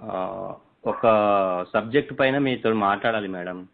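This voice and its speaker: A man's voice: lowish voice, measured pace, creaky. Deferential male reporter on a tinny phone line. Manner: deferential, repeatedly saying madam. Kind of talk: phone interview. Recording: noisy, tinny phone call recording.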